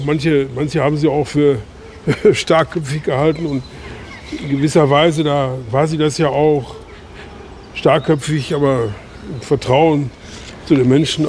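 An actor playing an earnest middle-aged village man who speaks haltingly, with many restarts, in a radio play. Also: a little high, darkish tone, a little hiss or hum, close to an everyday voice. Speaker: eulogy speaker.

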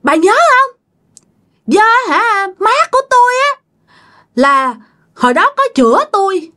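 A woman voicing a vengeful, accusing ghost in a thin, shrill voice with a Southern Vietnamese accent, telling a ghost story. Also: sing-song, a little slow, bright, forceful tone, heavily acted. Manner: accusing, curt, repetitive questioning. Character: vengeful ghost of a murdered grandchild possessing a man.

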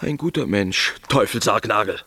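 A melancholy, pompous army captain in a radio drama. His voice is creaky and forceful, swinging widely as he rambles sentimentally with a streak of teasing malice, over faint ambient noise. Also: lowish voice, lively intonation, normal speed. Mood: maudlin, fearful. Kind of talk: German radio drama acting.

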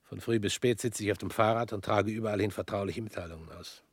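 An actor playing a humbled former prosecutor in a radio play, with a husky voice and an earnest manner. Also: male voice, lowish voice, fast, dry recording.

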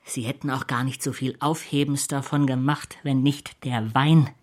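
An actor in a German radio drama playing a servile, ambitious clerk with an insinuating, falsely humble manner. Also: male voice, medium pitch, little pitch movement, moderate pace, natural voice. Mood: placating. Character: servile, scheming, ambitious male clerk feigning humility.

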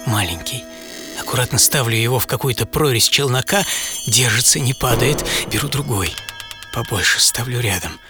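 A man's voice: medium pitch, moderate pace. A man telling a dramatized first-person story as a radio presenter, theatrically, savouring short phrases. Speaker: writer and presenter of the programme. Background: loud music bed.

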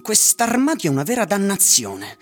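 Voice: mid-range voice, huge pitch swings, normal speed. An actor in a radio drama playing an exasperated adult grumbling about household furniture, with music behind. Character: exasperated adult complaining about a ruined wardrobe.

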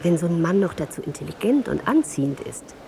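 Teasing, very low-voiced woman. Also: very animated, fairly quick, vocal fry, noisy background. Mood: amused, intimate. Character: sceptical, amused woman confidante reacting to talk of seducers. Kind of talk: conversational radio play dialogue.

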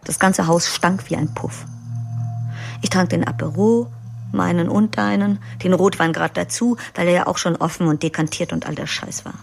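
A woman's voice: on the low side, steady tone, normal speed, vocal fry. An actress playing a jilted woman who waits for her absent lover, speaking in an intimate, half-whispered, bitter monologue in a radio play. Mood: angry. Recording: studio radio play with music.

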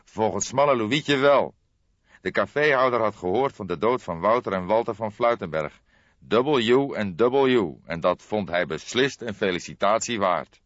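A man voicing a grey-haired veteran police detective in an audiobook, calm, pensive and measured, explaining things with solemn deliberation. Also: fairly low pitch, pitched above the performer's own voice. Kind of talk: audiobook character dialogue. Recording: dull lo-fi audiobook, roomy echo.

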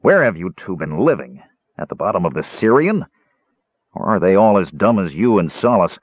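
Old fantasy dwarf, male narrator performing: raspy, cantankerous, scornful, folksy, animated delivery on a thin radio-like recording. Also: low-pitched, normal speed, no room sound, phone-quality sound, heavily acted. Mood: bitter scorn. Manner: scornful, snappish, folksy grammar.

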